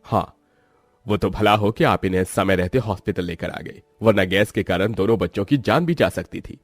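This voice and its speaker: A man's voice: fairly low pitch, normal speed, no echo, faint music behind. A man voicing a grave doctor who gives emphatic warnings in a moral story. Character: grave male doctor warning worried parents. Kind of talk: acted moral story dialogue.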